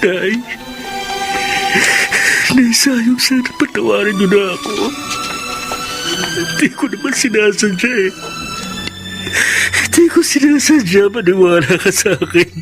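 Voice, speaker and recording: A high, swooping voice over music. He is a haunted, guilt-ridden young man in a radio drama, repeating himself in fragile, overwrought pleas. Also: lively intonation, measured pace, long pauses, rough recording. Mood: tearful pleading. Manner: pleading, repetitive, overwrought. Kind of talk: Filipino radio drama acting. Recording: music bed behind the voice.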